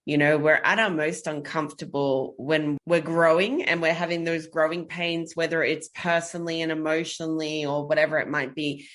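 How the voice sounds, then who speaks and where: Low-voiced woman, thoughtful podcast guest. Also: little pitch movement, moderate pace, few pauses, fairly bright tone. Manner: thoughtful, earnest, explains at length. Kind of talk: casual podcast chat.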